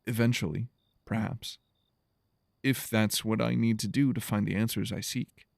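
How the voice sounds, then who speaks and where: A man playing an earnest, gravelly explorer. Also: fairly low pitch, steady tone, normal speed, a little mellow, no echo, clean studio sound. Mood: hesitant. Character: earnest, gentle male explorer stranded on an alien world. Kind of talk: audio drama acting.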